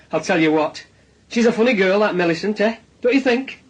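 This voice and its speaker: An actor playing a sardonic police detective in a radio drama, with a Northern English accent, pressing with insistent questions. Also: male voice, high voice, animated, moderate pace, slightly rough. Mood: sly, teasing. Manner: insistent questioning with tag questions and dry sarcasm. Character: needling, sardonic male police detective grilling a suspect. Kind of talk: naturalistic radio drama dialogue.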